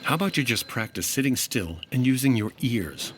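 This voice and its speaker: An actor playing a dry, no-nonsense insurance investigator in an old-time radio detective drama, clipped, wry and deadpan. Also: male voice, medium pitch, normal speed, breathy edge, soft background music. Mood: exasperated.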